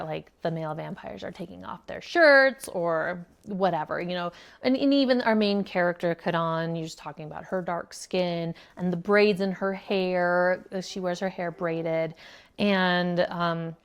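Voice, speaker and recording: A woman hosts her own book review channel in a loose, conversational way. Her voice sits a little on the low side. She talks eagerly, circling back with restarts and filler words as she thinks aloud. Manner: chatty and enthusiastic, with many fillers and restarts.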